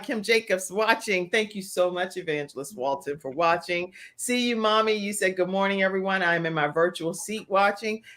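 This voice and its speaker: A woman's voice: lowish voice, a bit bright. An American woman hosting a live online talk show, chatting in an effusive, encouraging way and addressing viewers by name.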